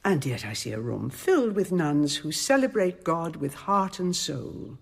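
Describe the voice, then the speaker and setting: Abbess, radio drama. Composed and authoritative, lively intonation over a husky voice, shrewd and dryly ironic. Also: female voice, deep voice, unhurried, exaggerated voice. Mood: cool, persuasive. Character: commanding, shrewd, composed convent abbess of mature years.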